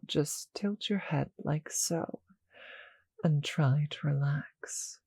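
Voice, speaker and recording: A performer voicing a seductive, doting vampire with a possessive streak in an intimate ASMR roleplay, in a slow, breathy, purring manner. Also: mid-range voice, warm tone, dry recording, heavily acted, low-quality recording. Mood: teasing, restrained.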